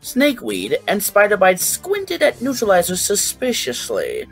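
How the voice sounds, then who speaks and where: A man narrating a scripted fan fiction story in an expressive way. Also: high voice, a little slow, slightly rough, faint music behind, poor audio.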